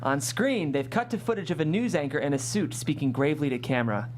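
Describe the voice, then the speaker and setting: A woman with a low voice narrates the stage directions of a comedy script at a table read. A faint hum and some noise sit behind her. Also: fast, a little mellow.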